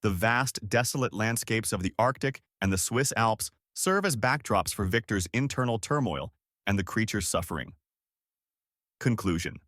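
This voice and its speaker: A man reading a scripted literary summary as an audiobook narrator, in a measured, formal manner. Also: lowish voice, animated, a bit bright, no room sound, studio quality.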